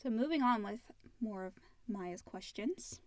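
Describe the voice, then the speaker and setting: A woman's voice: medium pitch, expressive, a little slow, no echo. A woman co-hosting a casual chat and reading listener questions aloud.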